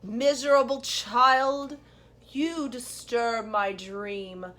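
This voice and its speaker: A woman doing a slow, drowsy old man. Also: a little high, fairly bright tone.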